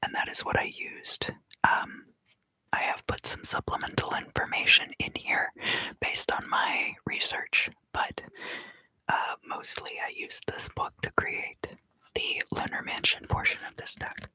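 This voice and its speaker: A woman giving an ASMR tarot reading in a hushed, breathy whisper, gently and with pauses. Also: dry recording, poor audio. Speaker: tarot reader and host.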